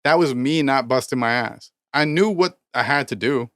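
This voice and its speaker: A thirty-year-old man co-hosting a podcast, pushing his point hard in a steady mid-range voice. He talks with heavy swearing and motivational emphasis, and his voice sounds smooth and resonant on a dry close mic. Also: medium pitch, normal speed, no echo. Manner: emphatic, profane, preachy motivational rants. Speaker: podcast co-host, rapper. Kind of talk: casual podcast chat.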